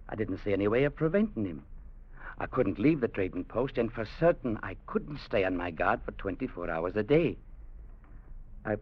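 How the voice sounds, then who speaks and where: An actor playing a proud, quietly menacing seafaring man in a 1940s radio drama, both narrating and acting. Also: fairly low pitch. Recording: muffled old radio recording with music.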